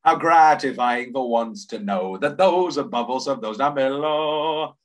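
A man's voice: medium pitch, a little slow. Chatty man enthusing about musicals. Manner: enthusiastic, quotes lyrics aloud. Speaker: podcast co-host. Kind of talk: casual chat about musicals.

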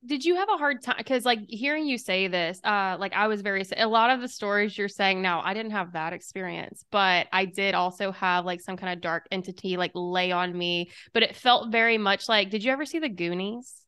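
A woman's voice: medium pitch, normal speed, hardly any pauses, bright, forceful tone, clear, resonant tone. A woman hosting an interview, chatty and curious, with frequent fillers. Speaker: podcast host. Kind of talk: conversational interview.

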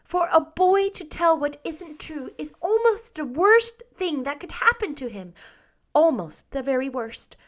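A woman's voice: very animated, a little slow. A woman voicing an earnest, imaginative young girl in a storybook reading. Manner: earnest, raised in pitch.

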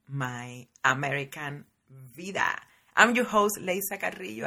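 A woman hosts her own podcast, telling stories from her childhood. Her voice sits low and comes out husky and a little breathy. She chats in a lively, casual way and keeps calling her listeners guys. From Cuba. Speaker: podcast host. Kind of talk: casual personal storytelling.

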